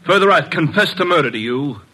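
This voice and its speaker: An actor playing a polite but cold-blooded blackmailing murderer in a 1950s radio crime drama, coldly apologetic and deliberate. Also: male voice, on the high side, lively intonation, bright, forceful tone, slightly rough, full character voice, rough recording. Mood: menacing, apologetic. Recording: vintage radio drama recording.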